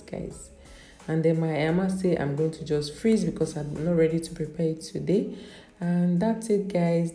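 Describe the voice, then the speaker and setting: A woman's voice: low voice, warm tone, reverberant. A woman, a mother, chatting casually about her meal prep at home in her kitchen, with many fillers and restarts. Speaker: cooking vlogger.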